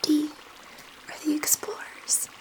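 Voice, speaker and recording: Woman playing a whispering, gentle alien scientist. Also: natural voice, rough recording. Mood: fond, curious. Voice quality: soft, breathy whisper. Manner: unhurried, reassuring, hesitant, murmuring to one listener. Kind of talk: ASMR roleplay, whispered.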